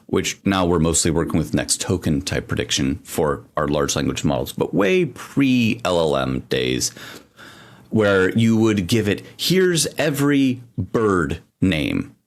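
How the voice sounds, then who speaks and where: A man with a low, deep voice that swoops up and down a lot as he talks. He co-hosts a casual podcast and tells long, rambling stories, piling on asides and restarts as he goes. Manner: rambling, animated storytelling with frequent asides. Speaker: podcast co-host.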